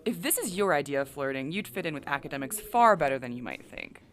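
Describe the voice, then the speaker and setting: A woman playing a confident, flirtatious academic in an audio drama, teasing with a playful deadpan. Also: low-pitched, fast.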